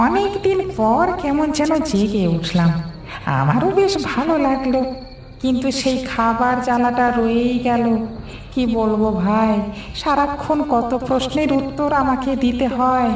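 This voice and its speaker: A woman's voice: fairly high pitch, a little slow, darkish tone, slight background noise, lightly acted. A woman voicing a hungry, complaining village ghost who pilfers food, in a cartoon ghost story. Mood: plaintive, explaining. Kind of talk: animated ghost story dialogue. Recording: echoey, distant, with music.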